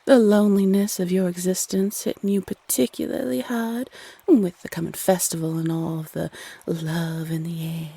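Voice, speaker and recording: A woman playing a flirty, playfully condescending female Cupid in an intimate roleplay audio, sultry and coy with drawn-out phrasing. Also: fairly low pitch, fairly even intonation, vocal fry, warm tone, breathy edge, no room sound. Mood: teasing, knowing.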